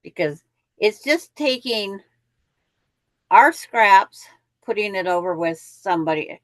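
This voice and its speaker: A woman's voice: medium pitch, animated, slow pace, no echo. A woman hosting a quilting show, chatting in a rambling way and explaining how the block pieces fit together. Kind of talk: casual live chat and craft instruction.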